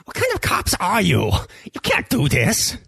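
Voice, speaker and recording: A man as a husky, excitable alien shopkeeper. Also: high-pitched, unhurried, no echo, clean studio sound, full character voice. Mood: indignant, protesting. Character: cagey alien shopkeeper and slave owner, pleading and indignant. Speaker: store clerk. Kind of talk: hard-boiled sci-fi audio drama acting.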